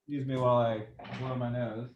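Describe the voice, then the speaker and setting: Guy with a stuffy nose running a sales call role-play on a video call, chatty, lots of ums, mid pitch. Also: little pitch movement, fairly quick, dull audio, slight background noise. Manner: casual, chatty, many fillers. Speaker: real estate agent demonstrating a call script. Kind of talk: role-play training demo.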